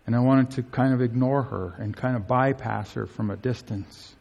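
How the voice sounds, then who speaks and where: A man of medium pitch preaching a heartfelt sermon in a church. He speaks earnestly and with emphasis, his voice rising sharply on the words that matter most. A long hall reverb trails behind every phrase. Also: steady tone, normal speed, gravelly, a little mellow, highs cut off. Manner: earnest, emphatic, voice climbs high on key phrases. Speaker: pastor.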